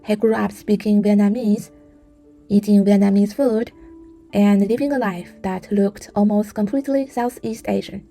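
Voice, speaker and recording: A woman narrates a travel documentary in a measured, explanatory voice-over. Her tone is mellow and dark, with a gravelly edge. Soft music sits behind the narration. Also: mid-range voice, fairly even intonation.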